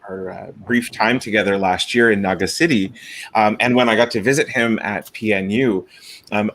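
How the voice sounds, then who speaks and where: Thoughtful male host with a low voice. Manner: thoughtful, circling questions with fillers. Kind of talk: hosting an online panel.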